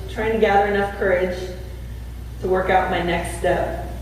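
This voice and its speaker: A woman's voice: lowish voice, fairly even intonation, a little mellow, slight background noise. Female preacher at a storytelling sermon, heard from far off in a very echoey church hall. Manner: storytelling with slowed emphasis. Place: church sanctuary.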